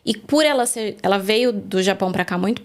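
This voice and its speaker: A woman's voice: mid-range voice, a little fast. A woman from Brazil, the guest on a faith interview, telling her story in an earnest, reflective way, recorded in a studio.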